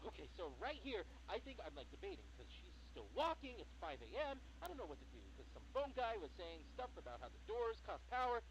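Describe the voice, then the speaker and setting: Man acting an excitable, nervous night guard, wildly animated. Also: sits high, quick pace, few pauses, slight background noise, exaggerated voice, low-quality recording. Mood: tense. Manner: rambling, frantic, exclamatory. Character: excitable, nervous young male night guard recounting scary footage. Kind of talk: animated first-person video narration.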